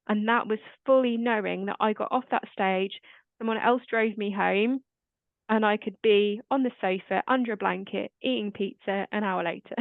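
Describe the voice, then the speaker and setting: A woman hosting a casual chat in a naturally quiet, calming voice. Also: medium pitch, moderate pace, creaky, dry recording. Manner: quiet, calm voice. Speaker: host. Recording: dry, tinny phone-like line.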